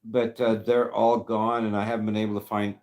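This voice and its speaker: A man in his fifties hosting a casual livestream chat, rambling and halting with many fillers as he answers viewers. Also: fairly low pitch, little pitch movement, a little mellow. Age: 50s. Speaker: livestream host reading chat.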